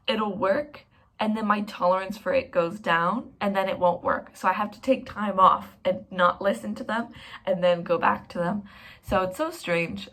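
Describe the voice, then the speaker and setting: A woman's voice: mid-range voice, a bit bright. Chatty young woman vlogging. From Canada. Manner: chatty and rambling, self-mocking. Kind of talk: casual vlog talk to camera.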